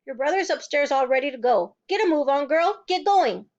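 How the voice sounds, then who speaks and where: A woman voicing a gruff, impatient, bullying father who barks short orders. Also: high-pitched, lively intonation, moderate pace, highs cut off. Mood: brusque. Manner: curt, snapping orders.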